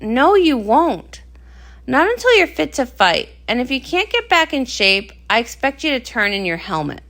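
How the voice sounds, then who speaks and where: A woman's voice: sits high, sing-song, normal speed, fairly bright tone, heavily acted. A woman voicing a demanding, blunt female pony who captains the guard in a storybook. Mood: commanding.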